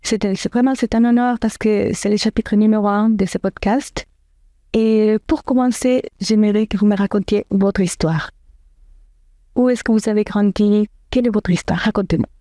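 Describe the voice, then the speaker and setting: Woman host asking questions on a podcast, earnest, a few "euh" pauses, dry close sound. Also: mid-range voice, steady tone, mellow, no echo. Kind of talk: interview hosting.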